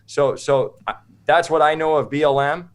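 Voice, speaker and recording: A man's voice: a little high, steady tone, normal speed, clear, resonant tone. A man from the United States speaking as an interview guest, informal, opinionated and rambling. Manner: informal, rambling, checks in with "right?".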